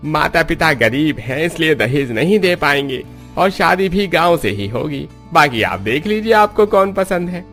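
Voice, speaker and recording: Man playing pandit matchmaker, obliging, swooping pitch, cartoon, background music. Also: animated, moderate pace, few pauses, fairly bright tone. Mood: businesslike, polite. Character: obliging village pandit matchmaker showing brides' photos.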